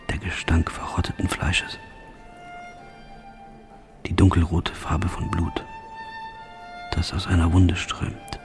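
An actor playing a haunted, guilt-ridden killer in a radio drama monologue, brooding and measured, dropping into breathy near-whispers. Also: male voice, sits low, full character voice.

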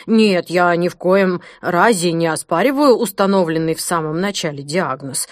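An elderly male physician played by a woman speaks in a lowered, quiet voice. He is courteous and formal, choosing his words haltingly, like a storybook character conceding defeat in a dry studio. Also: no room sound, natural voice. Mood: regretful, subdued. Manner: formal, measured, halting delivery. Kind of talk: audiobook character dialogue.

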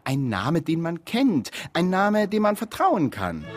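A man's voice: on the high side, wildly swooping pitch, slow, music in the background, heavily acted. An actor playing a pompous ad-agency man in a satirical radio drama, declaiming in slogans. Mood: groping.

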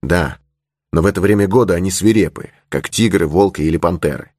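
Gallant, earnest horseman, voiced by a man, low-pitched. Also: fairly quick, darkish tone, no echo, clean studio sound. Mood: alarmed. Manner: courteous, earnest. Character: gallant, cautious young horseman, protective of a lady. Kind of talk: audiobook character in adventure novel.

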